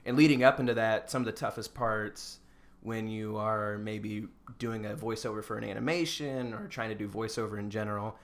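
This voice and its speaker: A man's voice: fairly low pitch, steady tone, normal speed. An American man co-hosting a podcast, giving casual feedback in an encouraging, informal manner. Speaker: podcast co-host.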